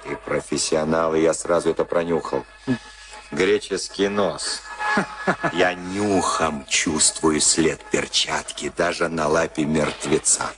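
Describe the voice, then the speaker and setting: An actor playing a cynical, streetwise prison camp convict in a Soviet radio play, commanding and sardonic in coarse street talk. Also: male voice, very deep voice, fairly even intonation, takes it slowly. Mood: cynical, menacing. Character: cynical, streetwise camp convict Tim, bullying boxing-match organiser.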